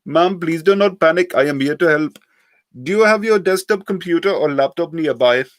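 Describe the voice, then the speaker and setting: A storybook phone scammer, played by a man, speaks in a raised pitch with a heavy foreign accent. He is scripted, courteous to excess and keeps pressing earnestly. The sound is dry. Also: normal speed, no echo, close to an everyday voice. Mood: earnest, insistent. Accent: heavy put-on foreign accent. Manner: over-polite, scripted, insistent.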